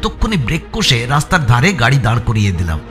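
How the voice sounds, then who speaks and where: A man's voice: medium pitch, wildly swooping pitch, fast. A man reading a scripted thriller as narrator, with a suspenseful, theatrical delivery. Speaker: story narrator. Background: background music.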